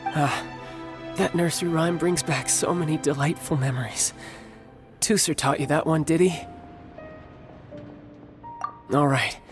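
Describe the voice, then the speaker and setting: A man playing a cocky, devoted young warrior and big brother in a video game, speaking in a smooth, measured, wry way. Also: a little high, fairly even intonation, music in the background, close to an everyday voice. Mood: wistful.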